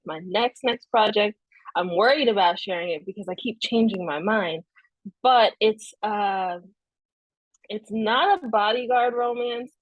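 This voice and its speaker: A woman's voice: mid-range voice, no echo, rough recording. Hesitant Haitian Creole-native woman author. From South Florida. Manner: hesitant, many fillers, soft laughs. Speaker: author guest. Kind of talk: casual interview chat.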